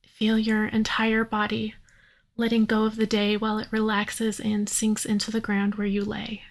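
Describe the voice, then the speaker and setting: A woman leading a guided yoga nidra meditation in a soothing, unhurried manner, leaving long pauses. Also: medium pitch, completely flat delivery.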